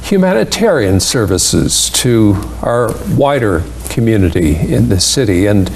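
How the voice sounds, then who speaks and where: A man hosts an interview in a slow, deliberate way, taking his time between words. His voice sits a little high and carries a gravelly texture. A faint hum hangs behind him. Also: very animated. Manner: deliberate with many hesitations and fillers. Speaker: interview host. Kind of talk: slow, measured interview questions.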